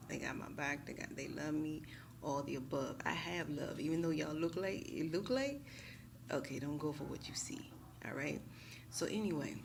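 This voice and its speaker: An American woman talking casually and emphatically to her viewers in a slangy, animated storytime style, often checking in with them. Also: deep voice, creaky, background noise. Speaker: YouTube tarot reader.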